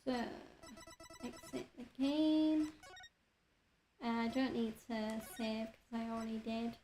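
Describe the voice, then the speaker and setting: A woman game streamer chatting casually over her play, her voice slightly croaky from a cold, with relaxed talk and frequent fillers. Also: a little high, slow pace, faint music behind, poor audio. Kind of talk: casual let's-play commentary.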